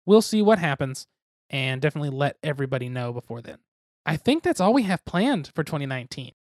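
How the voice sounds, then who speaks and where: A man's voice: on the high side, big pitch swings, fast talker, dry recording, studio quality. A young man co-hosting a board game podcast, talking casually in a rambling, self-deprecating, jokey way. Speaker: podcast co-host.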